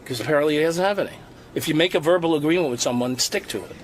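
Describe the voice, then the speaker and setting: A man's voice: a little high, racing, poor audio. A man being interviewed, answering casually but defensively, with chatter behind him.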